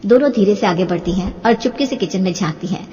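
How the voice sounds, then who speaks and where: A woman's voice: medium pitch, fast talker, warm tone, muffled recording. A woman narrator reading a scripted story for children. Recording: long hall-like reverb.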